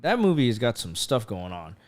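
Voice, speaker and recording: A man's voice: mid-range voice, very animated, fairly quick. A man co-hosting a podcast in casual chat, slangy and jokey, with frequent swearing. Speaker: podcast co-host.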